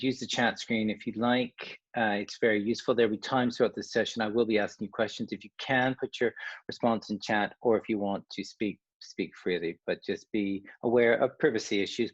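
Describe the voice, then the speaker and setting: Husky Canadian man leading a workshop. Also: fairly low pitch, no room sound, muffled recording. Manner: conversational, with frequent restarts and asides. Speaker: coach. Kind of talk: online workshop presentation.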